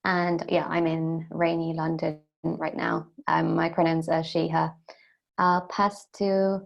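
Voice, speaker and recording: A woman activist gives a relaxed, casual introduction on an online panel. Her voice is lowish, with a gravelly fry. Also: takes it slowly, dull audio.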